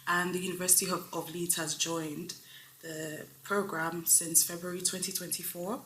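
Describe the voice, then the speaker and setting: Woman guest, low voice. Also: little pitch movement, bright, forceful tone, slight background noise. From Nigeria. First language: Igbo. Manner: measured and explanatory. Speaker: guest, open research adviser. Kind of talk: interview answers. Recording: roomy echo.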